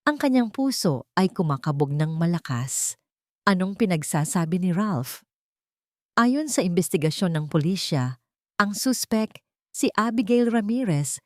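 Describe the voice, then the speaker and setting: Female audiobook narrator for scripted drama, low register, dry studio-like sound. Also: darkish tone, no room sound.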